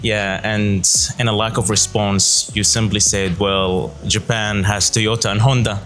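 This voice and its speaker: A man hosts an interview in a fairly low voice, asking calm questions and filling pauses with short acknowledgments. Soft music sits underneath. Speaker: interview host.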